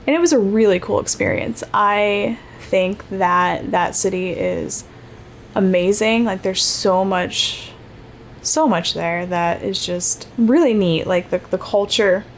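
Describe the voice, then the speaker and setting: A young woman hosts a solo podcast, talking in an easy, rambling way full of fillers and self-corrections. Her voice sits at an ordinary middle pitch. A faint background noise runs under the recording. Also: a little slow. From Ohio, United States. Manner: chatty, rambling, many fillers and restarts. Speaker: podcast host. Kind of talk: casual solo podcast monologue.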